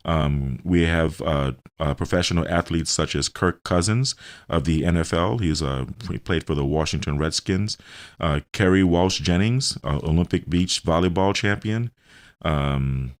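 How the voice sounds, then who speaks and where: A man hosting a radio talk segment, explaining in a hesitant, halting way and repeating words. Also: a bass voice. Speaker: radio host and health center owner.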